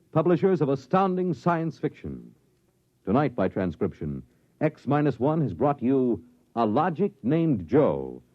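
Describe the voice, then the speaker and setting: Casting: man as fifties network announcer, formal, animated reading of credits, muffled old broadcast sound. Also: mid-range voice. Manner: formal, projected. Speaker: radio announcer. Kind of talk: 1950s radio announcing, scripted credits. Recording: dull lo-fi old radio recording.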